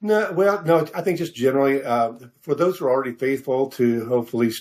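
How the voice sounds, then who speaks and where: An older man answering interview questions as a guest author, speaking in a hesitant, halting, rambling way. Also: mid-range voice, a little mellow. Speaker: novelist guest being interviewed.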